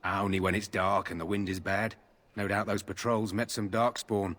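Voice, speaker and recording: A man's voice: fairly low pitch, flat delivery, normal speed, a bit bright. A man playing a dutiful but gossipy army guard in a fantasy game, telling lore matter-of-factly. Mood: chatty, foreboding.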